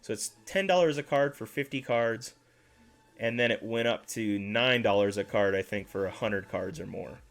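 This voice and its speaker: A man's voice: fairly low pitch, moderate pace, creaky. A man hosting a casual livestream, chatting with viewers in a rambling way and correcting himself as he goes, a little congested and nasal. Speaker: card-collecting livestream host.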